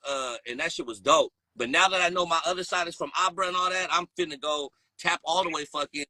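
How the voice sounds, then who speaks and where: A man's voice: a little high, quick pace, pushed and bright, no echo. A man hosting a casual podcast chat, talking in loose slang, rambling and laughing often. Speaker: podcast host.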